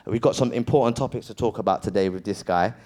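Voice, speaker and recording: A man's voice: lowish voice, fast, a little mellow. A man introducing guests on stage, playful and joking, tripping over names. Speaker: event host. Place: stage before a live audience.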